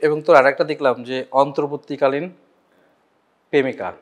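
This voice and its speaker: A man's voice: mid-range voice, fairly even intonation, moderate pace. A man with a Bangladeshi accent interviewing someone in casual, familiar chat, feeling his way through questions with restarts.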